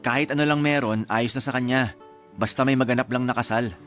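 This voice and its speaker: A man's voice: medium pitch, steady tone, fairly quick, a bit bright, close to an everyday voice. A man with a Filipino accent playing a joking, clueless, easygoing groom-to-be in a radio drama, with music behind him. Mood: casual.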